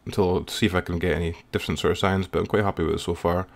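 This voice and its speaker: A man's voice: low voice, fairly even intonation, racing, creaky. A man giving a casual, informal tutorial as a music producer, explaining in a hesitant way. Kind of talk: casual screen-recorded software tutorial. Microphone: voice-over recorded while working at computer.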